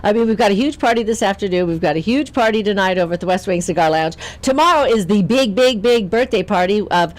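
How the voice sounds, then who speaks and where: A woman hosting a casual radio variety show, chatty and quick to laugh. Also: mid-range voice, animated, low-quality recording. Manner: giggly, chatty.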